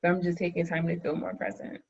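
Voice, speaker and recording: A woman co-teaching a webinar, chatting casually over a video call, chatty and quick to laugh. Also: fairly low pitch, monotone, vocal fry, a little mellow, highs cut off. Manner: chatty, laughs often, says "like" a lot. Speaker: course co-teacher.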